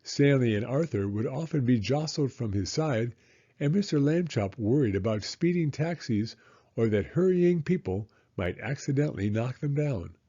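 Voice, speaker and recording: Man, children's audiobook narration, expressive, slightly husky, muffled recording. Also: mid-range voice, moderate pace. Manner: expressive, acts out dialogue. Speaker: storyteller reading a children's book aloud. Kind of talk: scripted narration, children's read-aloud.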